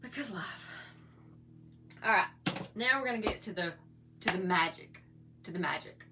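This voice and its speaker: A middle-aged woman with a slightly hoarse voice, chatting in a folksy, rambling way while she shows her makeup routine. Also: mid-range voice, lively intonation, moderate pace, very noisy. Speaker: makeup vlogger. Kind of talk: casual makeup tutorial chat.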